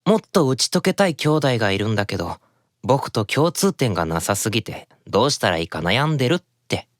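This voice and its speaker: A man's voice: mid-range voice, sing-song, brisk, no room sound, studio quality, lightly acted. A man playing a sleepy, mild youngest demon brother in an anime audio drama. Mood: mild, troubled.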